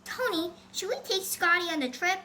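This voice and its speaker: A woman voicing a feisty, stubborn twelve-year-old girl in a storybook, excitable and snappy. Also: very high-pitched, moderate pace, voice pushed up, full character voice. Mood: friendly.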